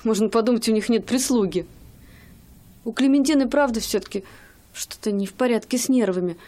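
An actress playing a loving, stubborn young wife in a radio play, chatty and pleading. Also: female voice, fairly high pitch, a little fast, mellow, natural voice. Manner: chatty, pleading, sometimes hesitant. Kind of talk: radio drama acting.

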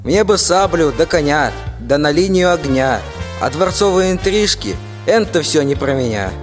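An actor playing a blunt, sulky general itching for battle in a rhymed fairy-tale radio play. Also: male voice, a little high, animated, moderate pace, lightly acted. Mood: sulky, resigned. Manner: blunt rhymed soldierly speech. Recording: hall reverb, faint music behind.

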